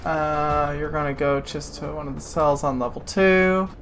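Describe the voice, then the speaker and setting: A woman's voice: low-pitched, moderate pace, a little hiss or hum. A woman narrating a tabletop game as game master, loose and giggly with lots of fillers.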